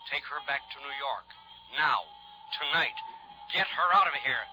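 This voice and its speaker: An actor playing a brusque, agitated American small-town doctor, a drinker, in an old-time radio drama. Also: male voice, mid-range voice, moderate pace, natural voice, rough recording. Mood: urgent, fearful. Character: gruff, evasive drunken small-town doctor and coroner. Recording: vintage radio drama recording, music underneath.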